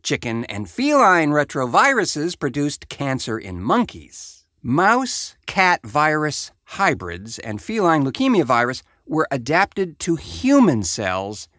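A man's voice: on the high side, huge pitch swings, takes it slowly, few pauses, sharp tone, no echo, studio quality. An American man hosting a show, reading a report aloud with wry, sardonic conversational asides. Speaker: podcast host.

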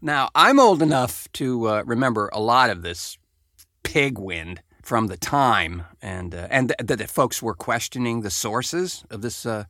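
Animated American man hosting, dry close sound. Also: mid-range voice, measured pace, no echo. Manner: wry, wordy, mock-grandiose phrasing. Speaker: podcast host. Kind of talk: casual comedic podcast talk.

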